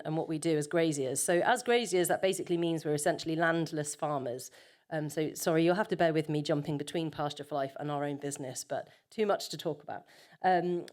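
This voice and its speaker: A woman with a fairly low voice giving an unscripted conference presentation. She talks in a loose, conversational way and fills her pauses with plenty of ums. She often restarts and corrects herself mid-sentence. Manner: conversational, many filler ums, self-correcting. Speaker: conference panel speaker, farmer. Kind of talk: conference talk with slides.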